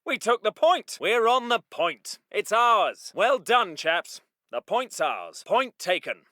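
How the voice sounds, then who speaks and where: An actor playing a polite, plucky British Second World War army medic in a video game, with clipped, old-fashioned genteel phrasing. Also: male voice, sits high, big pitch swings, slow, pushed and bright, no room sound, studio quality, exaggerated voice. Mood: polite brisk. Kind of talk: military video game unit voice lines.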